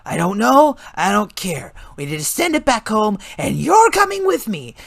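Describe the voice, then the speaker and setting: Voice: mid-range voice, very animated, normal speed, vocal fry, fairly bright tone, dry recording, pitched above the performer's own voice, heavily acted. A performer voicing a furious, panicking and bossy boy in his early teens, urgent and exasperated, in a dramatic story reading.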